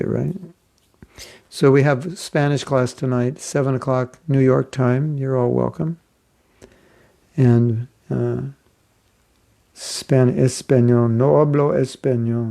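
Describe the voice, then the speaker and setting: A man's voice: medium pitch, creaky, warm tone. A man giving a casual, joking class as a spiritual teacher, chatty and rambling. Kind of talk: casual online class talk.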